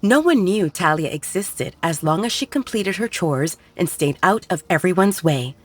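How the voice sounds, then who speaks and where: A woman reading a fantasy story aloud in an even, measured way. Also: fairly low pitch, husky. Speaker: audiobook narrator. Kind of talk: scripted storybook narration.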